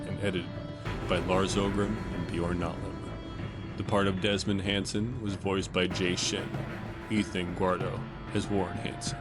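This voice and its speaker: A man reading scripted closing credits as an announcer. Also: low-pitched. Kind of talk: scripted narration.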